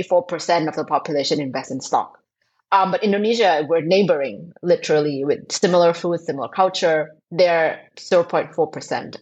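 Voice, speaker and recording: A woman from Thailand in her thirties, a startup co-founder who explains things in an animated, emphatic way on an interview podcast. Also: fairly low pitch, husky, highs cut off. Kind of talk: interview answers.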